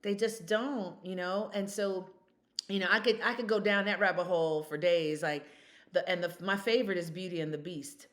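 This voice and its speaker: A woman's voice: lowish voice, steady tone, moderate pace. A woman in her fifties speaking as a podcast guest, emphatic and storytelling, full of rhetorical questions. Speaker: guest, consultant. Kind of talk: podcast conversation.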